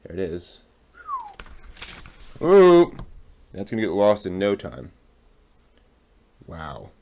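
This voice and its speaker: A man casually talking through a hands-on hobby build, halting and muttering to himself as he works. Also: deep voice, wildly swooping pitch, dark tone. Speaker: hobby channel host building a model kit on stream.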